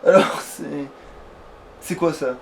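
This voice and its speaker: A man's voice: takes it slowly, a crowd in the background. A man giving casual let's play commentary, rambling and playful, swearing now and then. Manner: rambling, playful, mildly foul-mouthed. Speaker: let's player, playing and hosting the video.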